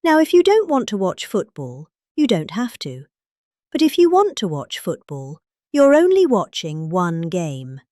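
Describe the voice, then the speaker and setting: A woman's voice: mid-range voice, huge pitch swings, a little slow, warm tone, no room sound, clean studio sound. A middle-aged British woman reading a speech aloud in a theatrical, conversational manner, posing rhetorical questions as she goes. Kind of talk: dramatic read-aloud of a speech transcript.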